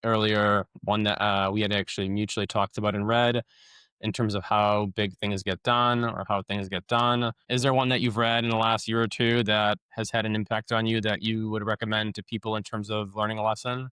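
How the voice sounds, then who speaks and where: A man's voice: fairly low pitch, little pitch movement, fairly quick, pushed and bright, clear, resonant tone, dry recording. A man hosting a podcast, asking casual interview questions with lots of fillers and stumbling restarts.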